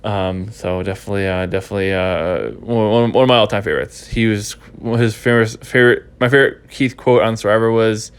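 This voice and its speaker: A man's voice: on the low side, steady tone, fairly quick, hardly any pauses, clear, resonant tone. A stuffy, congested man hosting a casual podcast recap, rambling and repeating himself as he thinks. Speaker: podcast host.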